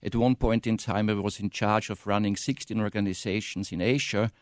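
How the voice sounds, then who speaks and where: A middle-aged man with an Austrian accent, a native German speaker, giving earnest, halting answers in a radio interview. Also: lowish voice, steady tone, dry recording, studio quality. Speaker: interview guest, consulting firm founder. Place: radio studio.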